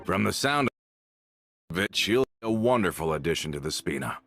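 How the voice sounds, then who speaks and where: Aging loyal henchman, deep, creaky, deferential, hesitant, video game dialogue, faint music underneath. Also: very animated, moderate pace, fairly bright tone, heavily acted. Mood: admiring. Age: older. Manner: hesitant, polite. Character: mild aging male henchman, humble and deferential.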